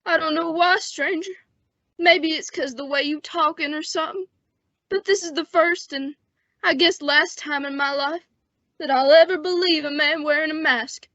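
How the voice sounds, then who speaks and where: A woman's voice: sits high, fairly even intonation, normal speed, sharp tone, no room sound. A teenage woman playing a wounded, dying male sheriff in an old-time western radio drama, with a strained, folksy delivery. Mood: fading, worried. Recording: dull, dry lo-fi radio recording.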